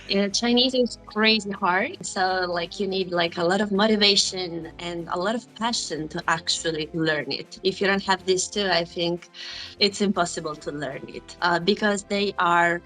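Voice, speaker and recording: A woman's voice: medium pitch, measured pace, fairly bright tone, faint music behind, rough recording. A young woman from Italy speaking English with an Italian accent as an interview guest, chatting casually with lots of "like" and "you know". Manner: chatty, many "like" and "you know" fillers, laughs often.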